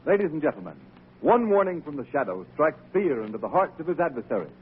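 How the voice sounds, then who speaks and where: High, animated male radio announcer. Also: quick pace, breathy edge. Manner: emphatic, salesmanlike. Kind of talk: 1930s radio advertising read. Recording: tinny, muffled old radio recording.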